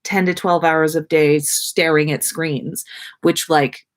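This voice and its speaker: A woman's voice: sits low, fairly even intonation, slow. A woman hosting a casual livestream, chatting with viewers in an informal, slangy, mildly sweary way. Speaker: podcast host. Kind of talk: casual livestream chat reading viewer comments.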